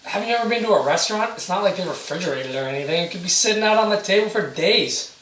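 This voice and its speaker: A man's voice: high-pitched, expressive, fast, fairly bright tone. A young man playing an uneasy, evasive regular guy with a hesitant, casual delivery in an amateur stage play. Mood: irritated. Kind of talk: amateur one-act stage play dialogue. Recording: echoey room, distant mic, hissy noise.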